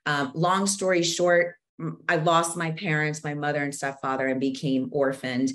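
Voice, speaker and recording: A middle-aged woman, speaking deliberately and earnestly as an interview guest explaining things on a video call. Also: deep voice, flat delivery, a bit bright. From born in Zambia, moved to the United States at age four. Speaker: guest author and co-host. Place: home office with bookshelves, indoors.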